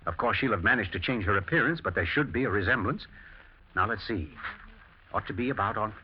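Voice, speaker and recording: An actor playing a mild, tired older English husband who turns anxious and suspicious, in 1940s radio drama style with a British accent. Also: male voice, lowish voice, a little fast, breathy edge. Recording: muffled, noisy vintage radio drama recording.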